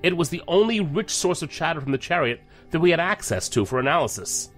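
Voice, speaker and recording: A guarded, serious federal agent in a science fiction audio drama. His delivery is formal and measured, yet the pitch moves a lot. Faint music plays behind him. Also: expressive, a bit bright. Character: guarded, serious male federal agent back from deep cover.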